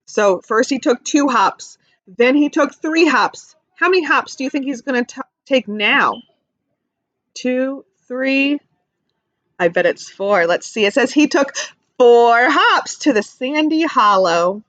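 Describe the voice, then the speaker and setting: Woman, teacher reading aloud to kids, high-pitched, questioning, simple explanations, dry dull recording. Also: takes it slowly, no echo. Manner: animated, questioning, explains simply, exclaims in sympathy. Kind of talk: interactive read-aloud lesson for young children.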